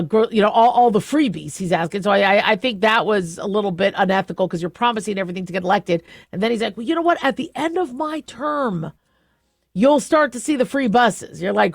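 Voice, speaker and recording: A woman's voice: medium pitch, no echo, studio quality. A middle-aged American woman hosting a radio talk show, giving casual opinion in a conversational way, often searching for words. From southwestern United States.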